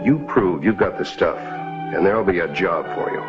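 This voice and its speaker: An actor playing an encouraging young mine superintendent in an old-time Western radio drama, with an earnest manner. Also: male voice, low-pitched, animated, moderate pace. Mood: encouraging, instructing. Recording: muffled old radio drama recording, music behind.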